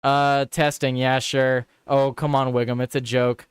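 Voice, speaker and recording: A man's voice: medium pitch, flat delivery, measured pace, clear, resonant tone, dry recording, clean studio sound. A young man hosting a casual live show, chatty and reactive, swearing and repeating himself as he reads headlines. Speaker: livestream host. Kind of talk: casual live news quiz chat.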